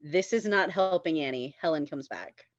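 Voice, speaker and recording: A woman's voice: low-pitched, expressive, normal speed, no echo, dull audio. A woman reading stage directions as scripted narration at a table read.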